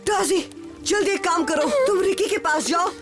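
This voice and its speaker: A woman's voice: very high-pitched, moderate pace, music in the background. A woman voicing a brave, playful young jungle boy in a cartoon dub. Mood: eager, urging. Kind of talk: Hindi cartoon dub acting.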